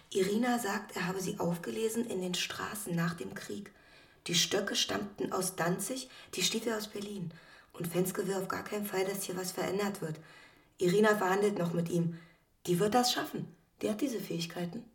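An actress playing a matter-of-fact guide in a radio play, explaining things in a confiding, conversational way. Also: female voice, medium pitch, normal speed, gravelly, natural voice. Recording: echoey cellar-like room.

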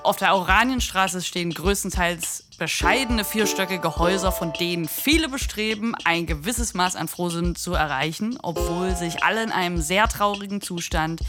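A woman's voice: on the low side, moderate pace, hardly any pauses, lightly acted. A woman narrating a literary radio play from a script. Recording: music bed behind the narration.

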